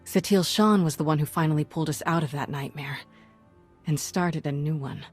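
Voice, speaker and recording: A woman's voice: deep voice, moderate pace, breathy edge. An actress playing a plucky young female Jedi, frank and conversational, in a video game cutscene. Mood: concerned, earnest. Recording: background music under the voice.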